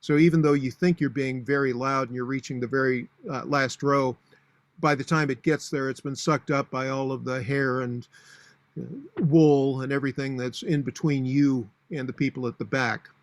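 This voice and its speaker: Booming older man coaching speakers. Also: steady tone, moderate pace, no echo. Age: 60s. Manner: practical, matter-of-fact advice with frequent fillers. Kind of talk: instructional talk.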